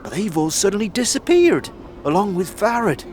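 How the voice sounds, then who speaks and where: A man's voice: high voice, very animated, moderate pace, a little mellow, a little hiss or hum. A man playing a cheery, chatty ragged corpse collector in an audio drama, upbeat, rambling and slangy. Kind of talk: audio drama character acting.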